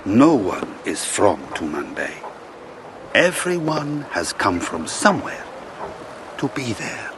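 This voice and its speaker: A man's voice: lowish voice, big pitch swings, slow, background noise. A man playing a worldly, wry ship's captain in an audio drama, telling tales in a knowing, teasing way.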